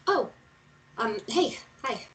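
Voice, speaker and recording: A woman's voice: on the high side, expressive, slow, a bit bright. A woman playing a stubborn, irreverent young woman in a screenplay read, talking in a hesitant, conversational way. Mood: insistent. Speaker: actor in a screenplay table read.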